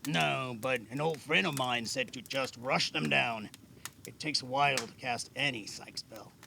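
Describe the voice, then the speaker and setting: A man playing a patient, modest veteran magic caster in an amateur audio drama, gravelly and measured, with thoughtful hums. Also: medium pitch, sharp tone, slight background noise. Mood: explaining. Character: Alan, weathered veteran male caster, patient, modest, wryly guarded.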